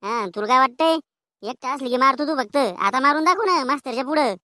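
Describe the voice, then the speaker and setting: A woman's voice: high-pitched, animated, a little fast, bright, forceful tone. A woman voicing a cheeky, quarrelsome village schoolboy in a comedy cartoon, with rural Maharashtrian slang and a taunting, argumentative way of talking.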